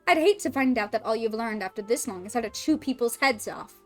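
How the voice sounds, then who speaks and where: A woman voicing a composed female head chef with a put-on French accent, measured and teasing. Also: fairly high pitch, expressive, faint music behind, lightly acted. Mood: cool.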